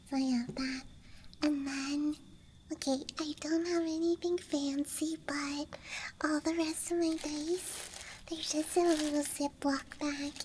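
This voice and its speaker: A woman whispering softly and breathily in a rambling, hesitant ASMR show-and-tell as a friendly dice goblin, close to the microphone. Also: sits high, slight background noise. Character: friendly dice goblin hoarding her treasures. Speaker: ASMR performer. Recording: close mic, audible ambient night sounds.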